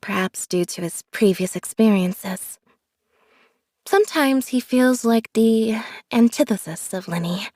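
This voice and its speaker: A woman voicing a reserved, observant young female magician's assistant in a video game, speaking in a flat, measured, deadpan way. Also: on the high side, no room sound. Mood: quietly thoughtful. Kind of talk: scripted video game character voice lines.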